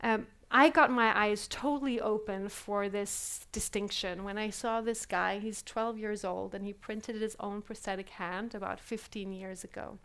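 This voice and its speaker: A woman's voice: medium pitch, steady tone, unhurried, hardly any pauses, a bit bright, clear, resonant tone. A woman giving a live keynote talk at a conference, reflective and full of fillers, often asking the audience questions, speaking English as a with as her first. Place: conference hall.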